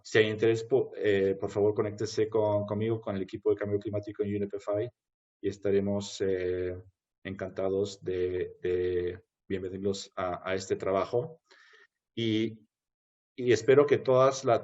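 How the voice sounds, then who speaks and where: A man wrapping up a webinar talk, hesitant, with many pauses and restarts. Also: on the low side, a little mellow. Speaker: webinar speaker. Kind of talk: closing a presentation. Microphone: video call. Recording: dull video-call audio.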